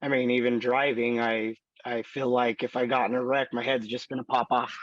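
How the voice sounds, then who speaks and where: A man in his thirties with a raspy voice, slowly telling his life story in an interview with many fillers. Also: medium pitch, level tone, fairly bright tone, no echo, muffled recording. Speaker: student.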